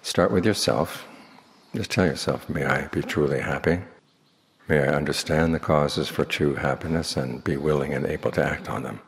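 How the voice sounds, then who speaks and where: A man speaking as a Buddhist monk, leading a guided meditation in slow, measured phrases with long pauses. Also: a bass voice, creaky. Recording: long hall reverb.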